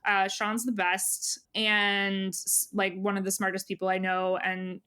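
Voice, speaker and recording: A woman's voice: medium pitch, monotone, unhurried, pushed and bright, smooth. A woman speaking as an interview guest, casual and earnest, rambling and often correcting herself. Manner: rambling, earnest, many hedges and self-corrections. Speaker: magazine editor-in-chief, interview guest.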